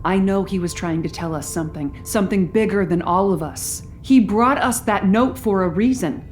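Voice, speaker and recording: A woman's voice: mid-range voice, normal speed, darkish tone, faint music behind, close to an everyday voice. An actress playing an earnest, defiant councilwoman who argues insistently in a full-cast audio drama. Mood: earnest pleading. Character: earnest, defiant younger councilwoman, pleading her case.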